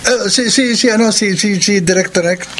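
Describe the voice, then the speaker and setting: Guilty Filipino husband, noisy recording. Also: male voice, high voice, a bit bright. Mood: flustered. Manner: stammering, halting. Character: guilty, evasive husband hiding an affair. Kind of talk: Filipino radio drama acting. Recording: noisy radio drama track.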